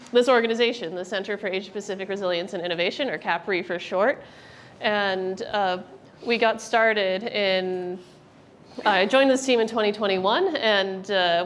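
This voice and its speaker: A young American woman speaks to a workshop group in a friendly, informal way. Her delivery is chatty and halting, with frequent ums, at a middling pitch. There is clear background noise from the live room. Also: a bit bright. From United States. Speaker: workshop presenter, research director. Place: indoor workshop room with an audience.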